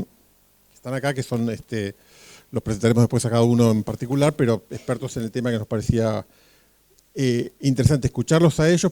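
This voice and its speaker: A man's voice: medium pitch, dark tone, no room sound. A man introducing a speaker at a public event, rambling with many fillers and small jokes.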